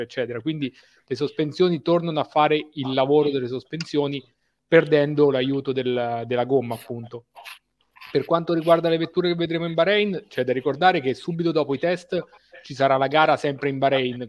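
Man on a dull headset call. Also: medium pitch. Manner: calm, explanatory, methodical. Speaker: technical commentator. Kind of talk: video call interview. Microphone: headset mic.

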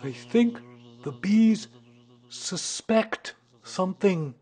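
A man voicing an earnest, slow-thinking, slightly anxious toy bear in a children's storybook, deliberate and ponderous. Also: high voice, expressive, dark tone, husky, voice pushed up, full character voice. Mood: pondering, suspicious. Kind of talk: children's storybook character reading.

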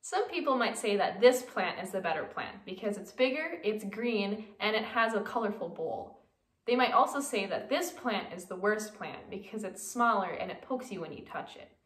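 A woman's voice: medium pitch, lively intonation, normal speed. A young woman presenting a simple lesson for children. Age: young adult. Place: small room indoors. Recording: echoey room, distant mic.

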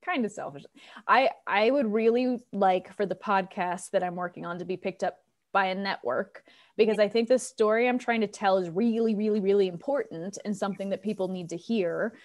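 A woman's voice: mid-range voice, brisk. An American woman in her thirties chatting informally as a guest in a casual video-call interview. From Colorado, United States. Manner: chatty, informal, many likes. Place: living room, indoors. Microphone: wired earbuds on a video call.

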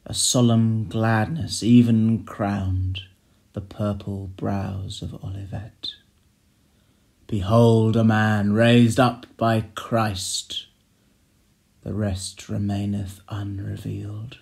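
A man reciting classic verse in a solemn, measured and expressive dramatic style. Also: fairly low pitch, vocal fry. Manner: solemn, measured, expressive delivery with hushed line endings. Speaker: poetry reader.

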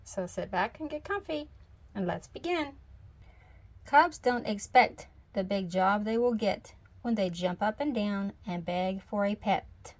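A woman's voice: medium pitch, lively intonation, measured pace. A woman reading a picture book aloud in children's storytime narration. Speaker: storybook reader.

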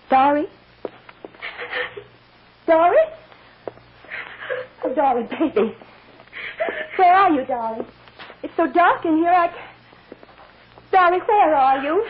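An actress playing an anguished, tender mother in old radio melodrama, pleading and breathless. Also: high-pitched. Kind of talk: 1940s-50s radio melodrama acting. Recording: muffled old radio recording, faint noise.

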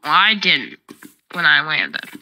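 A woman's voice: low-pitched, big pitch swings, slow, pushed and bright. A woman playing a block-building game with a friend, answering in short, stubborn, dry replies. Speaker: gamer. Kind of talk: casual let's play chat.

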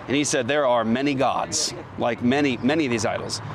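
A man street preacher debating calmly and firmly outdoors, quoting scripture. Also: mid-range voice, fairly quick, noisy background. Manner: calm, firm, quotes scripture.

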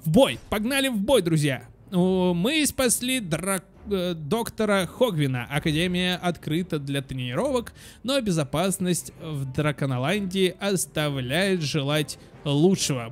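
A young man giving casual, animated let's play commentary for children, exclaiming and thinking aloud as he goes. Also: high-pitched. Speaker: host of children's gaming channel. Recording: music behind. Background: game music.

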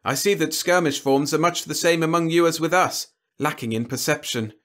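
A man's voice: fairly high pitch, moderate pace, a bit bright, voice pushed up, natural voice. A man voicing a genteel, pompous alien warlord in an audiobook, with fussy, over-polite, mannered speech. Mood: dismayed, fussy. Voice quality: scratchy. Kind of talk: audiobook character dialogue.

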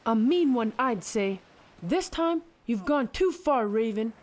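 A woman playing an indignant, scolding villager in an animated film. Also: a little high, measured pace.

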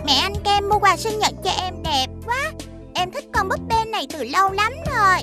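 A woman's voice: extremely high pitch, lively intonation, normal speed, music in the background. A woman voicing an eager, childlike little girl in a children's cartoon, with a Southern Vietnamese accent. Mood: delighted. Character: excitable, pleading little birthday girl. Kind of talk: animated children's cartoon dubbing.

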